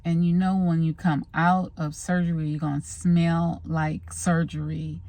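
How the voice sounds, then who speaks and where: A woman's voice: low-pitched, level tone, a little slow, warm tone, no echo. A woman vlogger chatting casually to the camera in a rambling, scattered way, recorded on a handheld phone.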